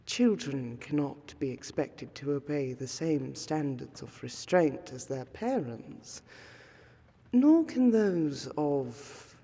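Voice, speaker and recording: A woman performs a refined vampire noblewoman for a fantasy audio roleplay. Her delivery is very slow and soft-edged, with a husky veil over ornate, old-fashioned phrasing. She carries a courteous melancholy, and her words ring in a long hall reverb. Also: deep voice, lively intonation, exaggerated voice. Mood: composed, formal. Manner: formal, archaic, ornate diction, measured. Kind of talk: fantasy roleplay audio, scripted monologue to the listener.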